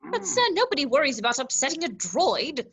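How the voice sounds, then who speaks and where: A woman's voice: sits high, big pitch swings, a little fast, fairly bright tone, full character voice. A woman playing a fussy, anxious, polite protocol droid with a prim, formal manner in a script read. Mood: fussy, protesting. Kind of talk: scripted table read acting.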